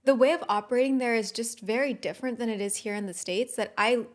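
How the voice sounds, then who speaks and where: A woman's voice: a little high, quick pace. A woman chatting on a podcast, heartfelt and rambling with restarts. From Los Angeles area. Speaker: podcast guest. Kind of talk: casual chat.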